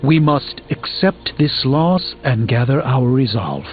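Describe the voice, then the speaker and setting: An actor playing a proud, grave sorcerer burdened by failure in a video game, speaking in a formal, dramatic, articulate manner. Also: male voice, mid-range voice, expressive, slow, highs cut off, faint music behind. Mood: grave, resolute. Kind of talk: video game character dialogue.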